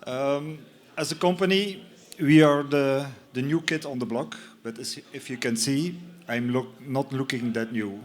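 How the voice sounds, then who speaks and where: Slow, halting man presenting. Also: mid-range voice, steady tone. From Belgium. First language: not English. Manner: halting, many fillers and restarts. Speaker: conference speaker. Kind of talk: presentation talk. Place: conference lounge in a stadium.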